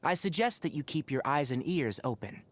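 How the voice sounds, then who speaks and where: A man's voice: on the high side, animated, a little fast, lightly acted. A man voicing an earnest, wry young forest ranger scholar in a video game, speaking in an articulate, thoughtful, caring way. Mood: friendly, earnest. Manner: articulate, thoughtful, dryly opinionated. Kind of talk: scripted game character monologue.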